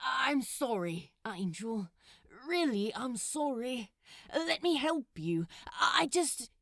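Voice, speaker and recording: A woman's voice: sits high, expressive, slow pace, vocal fry, a bit bright, dry recording, studio quality, exaggerated voice. A woman voicing a flustered male demon in a story reading, stammering apologies and snapping defensively. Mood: pleading, remorseful. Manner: stammering, pleading. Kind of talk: dramatized fan fiction reading.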